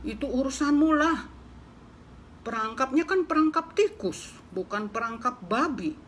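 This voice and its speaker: A drowsy, lazy pig from a storybook grumbles off a nuisance, as a woman puts him on. The tone is dismissive and sulky, pitched somewhat high. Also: animated, a little slow, a little hiss or hum. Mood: grumpy sleepy.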